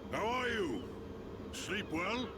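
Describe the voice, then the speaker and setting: Wry elderly chief, slow and measured, wildly varied intonation, game fantasy, noisy background. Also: male voice, sits high, big pitch swings, heavily acted. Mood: gentle, inquiring. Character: wry, unhurried old male chief of machine people. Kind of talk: video game cutscene acting.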